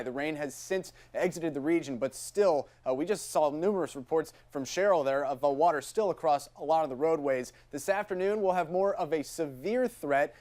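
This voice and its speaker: A man's voice: a little high, darkish tone. A man presenting a morning weather forecast, talking it through in an unscripted way. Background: music.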